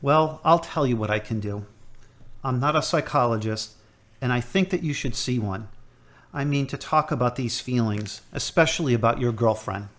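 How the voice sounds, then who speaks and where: An actor playing a hospital chaplain who gives calm, measured counsel in an amateur audio drama. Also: male voice, medium pitch, close to an everyday voice. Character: gentle middle-aged male priest.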